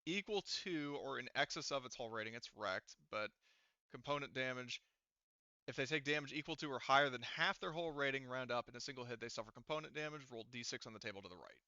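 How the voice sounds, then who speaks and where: Guy game mastering, thinks out loud, swears a bit, bright voice, dry recording. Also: medium pitch, fast, no room sound, lo-fi sound. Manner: thinks aloud, casual swearing. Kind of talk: casual tabletop game narration.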